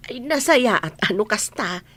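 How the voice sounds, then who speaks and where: A woman's voice: mid-range voice, lively intonation, normal speed, a bit bright. A woman acting a kindly, firm, fair-minded older coworker in an Ilocano radio comedy drama. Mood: friendly.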